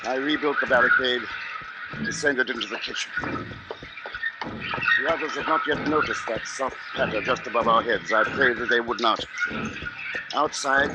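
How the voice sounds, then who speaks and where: An actor playing a grave, watchful husband under siege, in measured, brooding 1950s radio drama style. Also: male voice, medium pitch, very noisy, natural voice. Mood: weary, brooding. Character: besieged husband, grave, watchful, haunted by birds. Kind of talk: 1950s radio drama acting, first-person narration.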